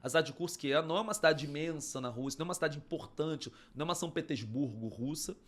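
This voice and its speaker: A man's voice: on the high side, fast talker, fairly bright tone. A man from Brazil co-hosting a news podcast, explaining current events in a conversational way. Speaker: podcast co-host. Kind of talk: casual news-discussion chat.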